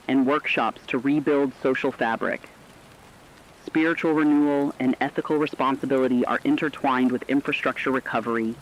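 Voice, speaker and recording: A man playing an earnest radio correspondent, reading scripted news in a formal, measured way. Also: medium pitch, slight background noise, close to an everyday voice. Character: earnest correspondent on an end-of-the-world radio broadcast. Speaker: co-anchor in a fictional radio broadcast. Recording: dull lo-fi radio sound.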